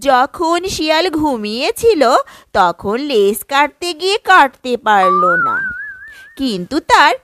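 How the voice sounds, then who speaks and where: Very high-pitched, animated children's story narrator. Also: takes it slowly, very noisy.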